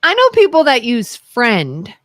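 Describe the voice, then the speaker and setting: A woman from Ohio co-hosts a casual talk radio show and tells stories with animated, sing-song delivery, slipping into the voices of the people in them. Her voice sits a little high and swings widely in pitch. It is recorded close and dry in a studio. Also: a little slow, no room sound. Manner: animated storyteller who acts out the voices in her anecdotes. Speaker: co-host.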